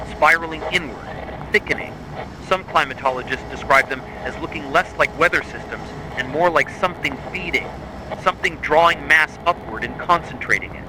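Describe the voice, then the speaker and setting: A man reading a scripted horror narration styled as an official emergency bulletin, in a grave, measured, authoritative way. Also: a little high, background noise. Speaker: narrator of a mock emergency broadcast.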